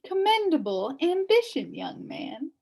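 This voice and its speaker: A woman voicing a pleasant old lady witch in a storybook, small-voiced, gentle and casual. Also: very high-pitched, sing-song, a little slow, pitched above the performer's own voice, heavily acted. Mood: kindly, serene.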